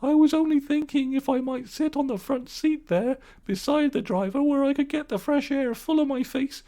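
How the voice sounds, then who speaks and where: A man's voice: extremely high pitch, normal speed, warm tone, pitched above the performer's own voice. A man voicing a vain, reckless male toad in a storybook reading, with a pompous, boastful manner. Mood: wheedling. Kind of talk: storybook audiobook acting.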